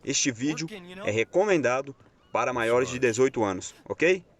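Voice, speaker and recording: A Brazilian man hosts a gaming channel. His voice sits at a medium pitch as he delivers a scripted video introduction. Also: animated, moderate pace. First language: Portuguese.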